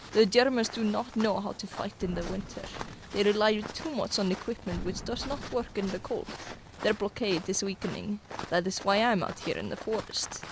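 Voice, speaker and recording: A stern young Soviet woman lieutenant played by an actress in a radio play, resolute and formal in every phrase. Her mid-pitched voice sits in a clearly noisy amateur recording. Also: natural voice. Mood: defiant, proud. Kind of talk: radio drama acting.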